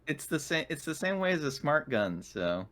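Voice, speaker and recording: A man's voice: fairly high pitch, big pitch swings, moderate pace, fairly bright tone. A man chatting casually as a player at a tabletop roleplaying game, talking through his moves.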